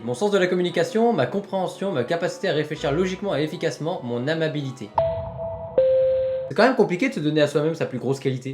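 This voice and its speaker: A young man casually reading out online quizzes and commenting on them in a playful, self-mocking way. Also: a little high. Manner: playful, self-mocking, animated with mock-dramatic asides. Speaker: online video host.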